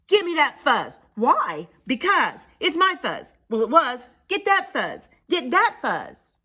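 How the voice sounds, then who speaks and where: A woman voicing excitable, squabbling storybook prairie dogs in shrill exclamations. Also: measured pace, full character voice. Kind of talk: animated children's storybook character voices.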